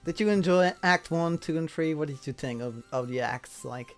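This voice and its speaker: A man's voice: a little high, normal speed, faint music behind. A man chatting casually as an interviewer, explaining things in a rambling way and often repeating himself. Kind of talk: casual chat.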